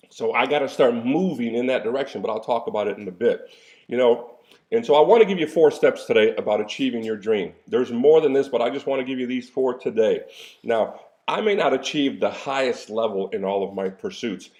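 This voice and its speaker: A man's voice: mid-range voice, darkish tone. A man in his sixties, a coach and pastor, giving a casual motivational talk with folksy, preacherly asides and an occasional cough. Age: 60s. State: coughing now and then.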